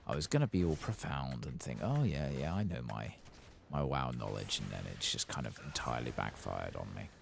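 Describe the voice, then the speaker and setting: A soft-spoken man giving ASMR video game commentary, musing to himself and reading quest text aloud in an unhurried way. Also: very deep voice, sing-song, vocal fry, a little hiss or hum. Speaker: ASMR gaming streamer narrating his play.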